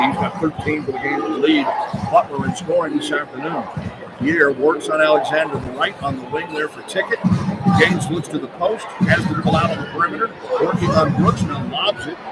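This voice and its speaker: A male radio announcer calls live basketball from a noisy arena. His voice sits fairly high and moves around a lot as he rattles off clipped descriptions of the action and punches out the scores. A very loud crowd and heavy noise surround him throughout. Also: big pitch swings, fairly quick. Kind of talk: live basketball play-by-play commentary. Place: courtside in a large indoor basketball arena. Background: roaring crowd, arena noise.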